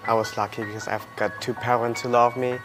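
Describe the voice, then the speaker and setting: Young German man, even voice over music. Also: medium pitch, fast. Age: early 20s. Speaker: interviewee, adoptee searching for birth family. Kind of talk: personal storytelling in an interview. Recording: loud music under the voice.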